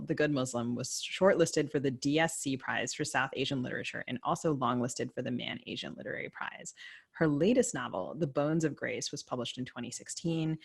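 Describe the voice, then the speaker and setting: A woman's voice: sits low, vocal fry, husky. A woman speaks as the co-host of a literary podcast. She makes introductions and chats with guests over a remote video call.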